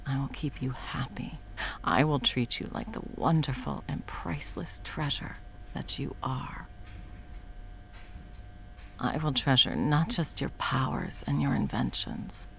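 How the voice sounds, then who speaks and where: Woman voicing a supervillain, female, scheming, possessive, swears, soft whispers, gravelly voice, faint background noise. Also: rumbling low, full character voice. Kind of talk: audio roleplay, one-sided.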